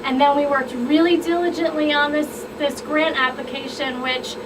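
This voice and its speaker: A woman's voice: high-pitched, fairly even intonation, normal speed, recorded from a distance. A woman explaining her group's project on a radio talk show, enthusiastic and chatty. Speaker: guest expert. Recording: radio studio, off-mic, noisy.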